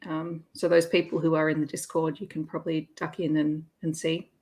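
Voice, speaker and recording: A woman's voice: deep voice, flat delivery, a little fast, a little mellow. A woman hosting an online readalong, chatting casually about books. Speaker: host of an online readalong livestream. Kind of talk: casual livestream chat about romance books.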